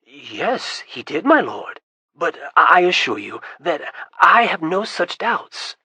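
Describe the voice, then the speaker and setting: A reader voicing a composed, courteous wizard adventurer who speaks smoothly and persuasively. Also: mid-range voice, big pitch swings, slow pace, pushed and bright, dry recording, full character voice. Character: calm, smooth-talking adult wizard adventurer.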